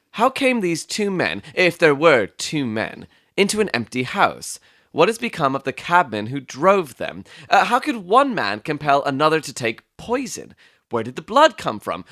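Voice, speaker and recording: A man playing an earnest, puzzled doctor who admires his detective friend, in an expressive dramatic audiobook reading. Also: a little high, dry recording, clean studio sound. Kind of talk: dramatic audiobook reading with first-person narration.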